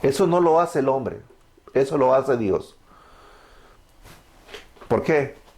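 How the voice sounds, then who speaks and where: A man's voice: mid-range voice, lively intonation, takes it slowly, darkish tone. Fervent Salvadoran preacher man. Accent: Salvadoran Spanish. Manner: fervent, preachy, asks and answers his own questions. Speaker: preacher giving testimony. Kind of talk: evangelical testimony sermon.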